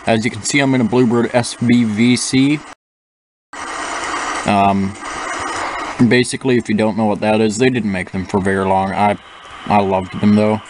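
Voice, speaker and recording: A man's voice: on the low side, fairly even intonation, moderate pace, pauses often. A young man chatting casually over gameplay, rambling with lots of fillers and restarts. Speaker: gaming channel host. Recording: noisy with engine sounds from the game. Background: simulated bus engine noise.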